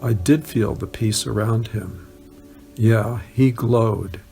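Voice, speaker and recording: A man's voice: lowish voice, takes it slowly, gravelly, warm tone, faint music behind. A man reading first-person recollections aloud as a narrator. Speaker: reader of written testimonials.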